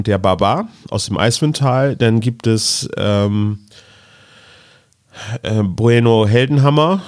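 A man's voice: lowish voice, darkish tone, no room sound, studio quality. A man co-hosting a podcast in casual conversation, often searching for words as he explains. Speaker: podcast co-host. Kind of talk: casual podcast chat about fantasy audio dramas.

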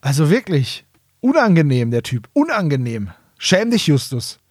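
A man's voice: fairly high pitch, wildly swooping pitch, moderate pace, darkish tone, husky, dry recording, studio quality. A man co-hosting a casual comedy podcast, emphatic and mock-indignant, repeating himself for effect. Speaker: podcast co-host.

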